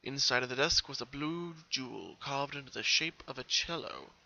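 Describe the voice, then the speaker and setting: A man's voice: medium pitch, moderate pace, vocal fry, sharp tone, muffled recording. A man narrating a story as a dramatic reading. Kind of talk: dramatic reading of fan fiction.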